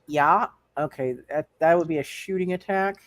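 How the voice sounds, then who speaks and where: A man with a fairly high voice acts as game master for a superhero roleplay session. He talks in halting bursts, often repeating words as he works through the rules. The sound is dry, with little room in it. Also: fairly bright tone, dry recording. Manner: halting, repeats words while thinking aloud. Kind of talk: running a live tabletop superhero roleplay game.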